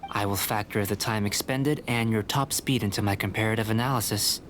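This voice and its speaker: A man playing a calm, cerebral young alchemist in a video game, speaking in a measured, articulate, scholarly way. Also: fairly low pitch, a little hiss or hum, lightly acted. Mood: calm, instructive.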